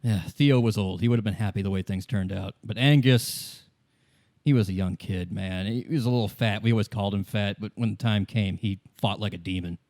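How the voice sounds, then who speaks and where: A man's voice: on the low side, fairly quick, darkish tone, dry recording, studio quality, lightly acted. A man voicing a boozy, downcast but grateful gang leader, talking in a matey, sighing way. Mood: weary, grieving.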